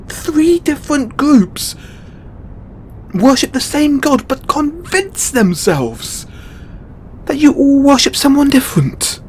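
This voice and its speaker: An actor playing a distraught, overburdened god in an emotional audio roleplay, a man speaking tearfully and haltingly with exasperated swearing. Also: very high-pitched, a little hiss or hum, exaggerated voice. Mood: exasperated, angry. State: crying. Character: distraught, overburdened male god venting to his devotee.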